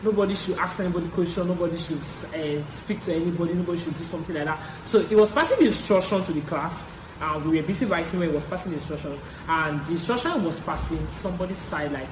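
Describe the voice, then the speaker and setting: A woman's voice: lowish voice, quick pace, few pauses. A young woman with a Nigerian accent tells personal stories in a casual, chatty vlog style, repeating phrases for emphasis. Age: young adult. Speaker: vlogger. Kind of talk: casual confessional storytelling. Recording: echoey room with clear background noise.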